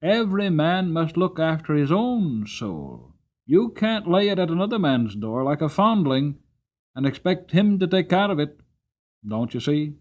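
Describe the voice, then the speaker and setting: A man performs an upright male cab driver from a storybook. He speaks with firm, kindly conviction and drives each moral point home. His pitch rises and swings with the emphasis. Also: very animated, measured pace, a little mellow. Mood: earnest, insistent. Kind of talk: dramatic storybook character reading.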